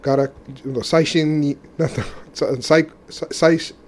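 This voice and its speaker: Halting man, mellow voice. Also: a little high, fairly even intonation, slight background noise. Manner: halting non-native speech with frequent restarts and laughs. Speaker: co-host. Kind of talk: casual radio chat.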